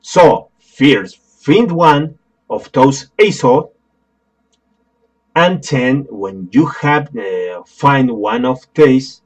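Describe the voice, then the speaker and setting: A man with a Spanish accent, a native Spanish speaker, giving a software tutorial in a halting, apologetic way with many fillers and restarts. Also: medium pitch, lively intonation, low-quality recording. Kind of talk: screen-recorded tutorial.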